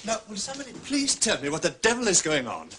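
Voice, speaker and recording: Blustering suspect, indignant, fairly high husky voice, lively intonation, radio drama. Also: male voice, fast, slight background noise, exaggerated voice. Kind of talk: British radio drama acting.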